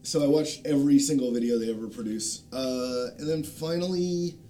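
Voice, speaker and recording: A man chatting casually as a panel guest, recommending things in a halting way, with plenty of ums and pauses. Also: warm tone. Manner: hesitant, halting delivery with frequent ums and long pauses. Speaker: guest, game designer. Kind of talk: casual panel chat, recommending video channels. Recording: low rumbling background noise.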